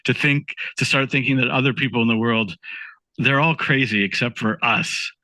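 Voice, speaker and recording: Male podcast co-host, thoughtful chatty delivery with frequent restarts, bright forceful tone, dry sound. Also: medium pitch, moderate pace, dry recording, rough recording. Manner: thoughtful, laughs easily, restarts sentences. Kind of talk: casual podcast conversation.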